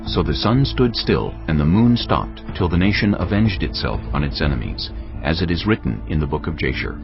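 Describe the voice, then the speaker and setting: Male scripture narrator, solemn and measured, with a deep, lively-pitched delivery over soft music. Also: creaky, muffled recording. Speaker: audio Bible narrator. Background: background music.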